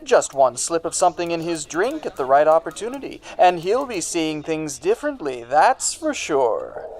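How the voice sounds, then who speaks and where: A sinister radio pitchman acted by a man with wildly animated intonation. He sells and taunts in the same sly, insinuating tone, like an advertisement turned menacing in an audio play. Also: moderate pace. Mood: slyly tempting. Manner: salesman-like, insinuating. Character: sinister radio announcer voice, slyly taunting and tempting. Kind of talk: radio advertisement parody acting.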